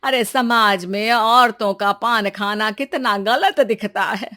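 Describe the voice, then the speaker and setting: A woman voicing a nagging, sly older mother-in-law in an animated family comedy, with drawn-out, reproachful phrasing. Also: medium pitch. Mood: exasperated.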